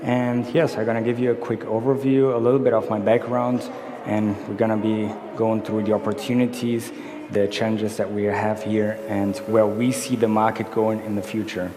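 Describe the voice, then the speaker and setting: A man's voice: lowish voice, level tone, moderate pace, warm tone. A man from Brazil giving a conference presentation, walking through slides and often correcting himself mid-sentence. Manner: self-correcting, explaining slides. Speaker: conference speaker. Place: large conference hall. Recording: echoey hall with faint room noise.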